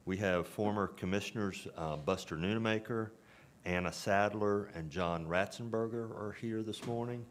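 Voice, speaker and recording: A man chairing a town board meeting in a measured, formal way. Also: on the low side. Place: town council chamber. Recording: noisy meeting room recording.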